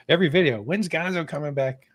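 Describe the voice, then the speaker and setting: A man's voice: fairly high pitch, moderate pace, husky. A man hosting a live stream, upbeat and welcoming as he reads out viewer messages, while a little under the weather. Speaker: host reading super chats. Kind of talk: casual live-stream chat.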